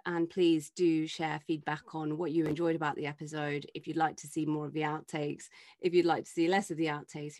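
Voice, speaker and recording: A woman's voice: lowish voice, dry recording. Chatty woman podcast host, dry sound. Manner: upbeat, chatty, reads show notes smoothly. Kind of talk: podcast hosting, casual banter.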